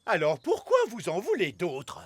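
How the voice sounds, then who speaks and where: A woman's voice: lowish voice, huge pitch swings, moderate pace, background noise. A doubtful elf king. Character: wary middle-aged elf king.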